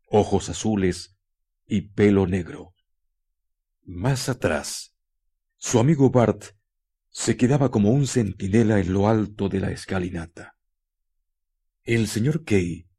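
A man narrating a scripted radio drama in a solemn, deliberate way, with dramatic pauses. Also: lowish voice, mellow, slightly rough.

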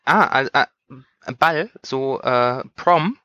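Bright, deadpan joking man. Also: mid-range voice, slow pace, no echo, studio quality. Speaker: podcast co-host. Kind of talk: casual podcast chat.

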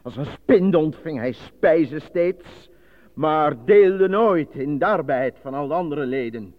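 A man playing an anxious, apolitical stage actor in a Dutch radio drama, with a theatrical manner that turns halting under nerves. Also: a little high, lively intonation, no echo. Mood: grand declaiming. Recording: dull lo-fi radio drama recording.

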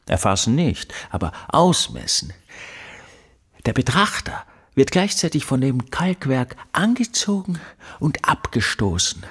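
An actor playing an obsessive, embittered male recluse in a radio drama monologue, brooding and insistent in halting phrases, with a breathy voice. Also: mid-range voice, sing-song, fairly bright tone, full character voice. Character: obsessive, embittered recluse, a man devoted to his study.

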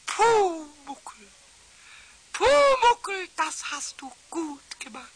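A man voicing a cheeky, excitable little male kobold in a children's radio play, with a squeaky voice, babbling and repeating words to himself. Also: drawn-out words, exaggerated voice. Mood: curious, self-pleased. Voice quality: squeaky, shrill, creaky. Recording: hissy 1970s radio play tape.

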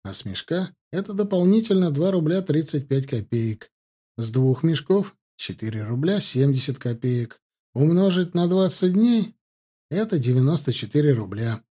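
A man's voice: a little high, very animated, moderate pace, mellow, slightly rough. A man reading a first-person story aloud as scripted audiobook narration. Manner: acts out dialogue with lifted, livelier delivery.

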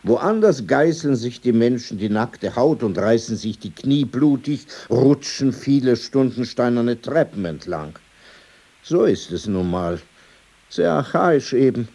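Husky, prickly old man. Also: medium pitch, expressive, a little slow, dark tone. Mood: provocative, sardonic. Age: older. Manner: formal address, probing rhetorical questions, abrupt shifts. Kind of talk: radio drama dialogue.

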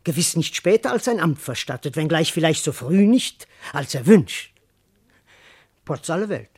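Wildly animated, boastful cowardly servant. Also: male voice, fairly high pitch, moderate pace, breathy edge. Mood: smug. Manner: theatrical, shifting between pompous declamation and muttering asides. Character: cowardly, boastful male servant rehearsing grand speeches. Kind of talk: German classical verse radio drama.

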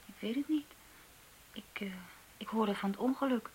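An actress in a radio drama playing a hesitant young woman who talks in halting, unsure phrases. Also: on the high side, darkish tone, slightly rough.

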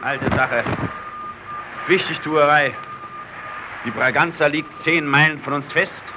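Stranded, short-fused Italian officer, slightly high, caustic radio play acting over clear noise. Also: male voice, slow pace. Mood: bitter, sarcastic. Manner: sarcastic, barking orders. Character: bitter, sarcastic stranded Italian lieutenant, quick-tempered. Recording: noisy old radio drama recording.

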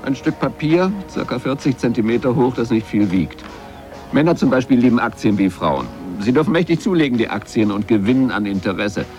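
An actor in a radio drama playing a flirtatious, evasive comedian who rambles with playful irony. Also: male voice, mid-range voice, expressive, brisk, darkish tone, natural voice. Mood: teasing, evasive. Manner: playful, rambling, ironic, stop-and-start hesitations. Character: glib male comedian, flirtatious, wisecracking, evasive under questioning. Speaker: comedian being interviewed. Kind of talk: 1980s German radio drama acting. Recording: music behind the voice.

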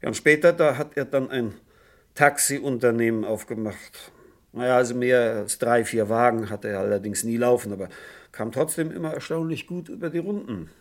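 An actor playing an evasive, nervous insurance agent under questioning in a radio crime drama, halting and apologetic in his speech. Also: medium pitch, lightly acted. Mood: rambling, evasive.

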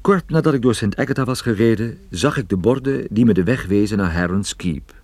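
Private detective narrator, deep voice, measured storytelling, radio play, dry studio sound. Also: male voice, animated, darkish tone, no echo. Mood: calm suspense. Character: male private detective recounting his case, cool and observant.

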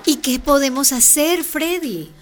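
A woman's voice: sits high, a little slow. A woman acting a receptive, gracious mayor in a radio drama, encouraging in manner. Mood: interested, encouraging.

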